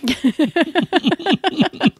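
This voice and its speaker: Woman, 30s, high-pitched and giggly, animated casual podcast storyteller, close dry sound. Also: drawn-out words, no room sound. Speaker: podcast co-host. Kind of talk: casual chat.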